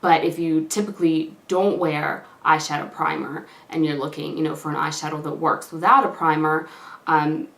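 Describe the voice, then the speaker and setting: Woman, slightly hoarse from a cold, lowish voice, chatty and gushing, echoey room. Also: level tone, normal speed, a bit bright. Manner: chatty, gushing, many fillers like "like" and "you know". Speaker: beauty vlogger. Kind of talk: casual product review to camera.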